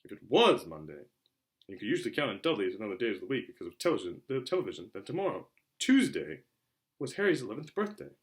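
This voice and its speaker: A man reading a storybook aloud as a narrator, in an expressive, theatrical style. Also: fairly low pitch, fast. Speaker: audiobook reader.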